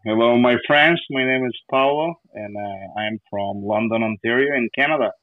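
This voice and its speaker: A man with a Ukrainian accent, a youth pastor speaking as a guest on a casual podcast, talking haltingly with many fillers and frequent laughs. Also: mid-range voice, dry recording. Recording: tinny phone-like line.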